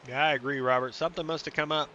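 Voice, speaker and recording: A man's voice: on the high side, fairly even intonation, racing, dull audio, background noise. A man with a stuffy, nasal voice from a cold, doing chatty play-by-play commentary for an online race broadcast and reading out lap times. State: congested, under the weather. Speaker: sim racing commentator.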